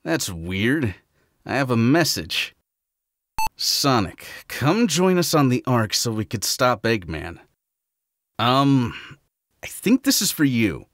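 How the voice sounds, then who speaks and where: A man's voice: medium pitch, very animated, slow pace, long pauses, slight background noise. A man voicing an eager, friendly young male hedgehog in a fan audio drama, easygoing and upbeat.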